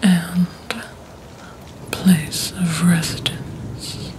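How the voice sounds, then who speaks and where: A young woman playing a polite, poised vampire receptionist at a blood bank in a soft, breathy, deliberate ASMR roleplay. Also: on the low side, flat delivery, poor audio. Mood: polite, businesslike. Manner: slow, deliberate, hushed, formal.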